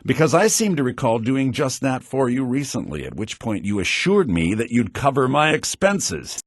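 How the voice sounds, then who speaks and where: A man's voice: medium pitch, very animated, normal speed, no echo. An actor playing a haughty, sardonic man in a fantasy video game, talking in a dry, condescending way. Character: haughty, sardonic male associate needling a nervous colleague. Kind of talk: video game character acting.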